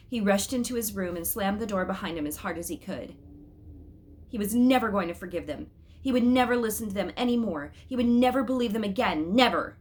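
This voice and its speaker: A woman reading a children's story aloud as an expressive storyteller, with dramatic, emphatic phrasing. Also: medium pitch, slight background noise.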